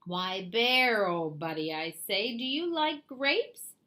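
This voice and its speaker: A woman's voice: medium pitch, very animated, takes it slowly, pushed and bright, heavily acted. Woman voicing a sly, smug, swooping storybook fox. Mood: wheedling. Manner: boastful, theatrical, wheedling and dismissive. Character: sly, smug, condescending male fox schemer. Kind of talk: children's storybook character voice.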